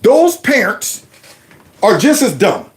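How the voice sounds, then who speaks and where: A middle-aged man hosting a commentary show, mocking and animated with loud outbursts. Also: extremely high pitch, slow. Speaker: host. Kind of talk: commentary vlog reacting to clips.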